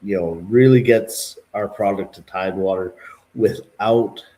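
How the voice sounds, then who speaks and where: Low-pitched man from Calgary and Vancouver, earnest, restarts often, casual political chat. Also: steady tone, measured pace, dark tone, poor audio. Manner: earnest, with many restarts and "you know". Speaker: livestream co-host.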